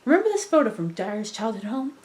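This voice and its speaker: A woman's voice: a little high, sing-song, quick pace, darkish tone. A woman playing a practical, mildly exasperated female android partner to a cartoon detective. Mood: reluctant, businesslike.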